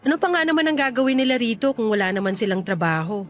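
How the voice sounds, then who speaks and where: A woman's voice: a little high, big pitch swings, fast talker. A woman acting a friendly, teasing young woman who runs a boarding house, in casual conversational radio drama dialogue. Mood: curious, frank. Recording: music bed under the voice. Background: music.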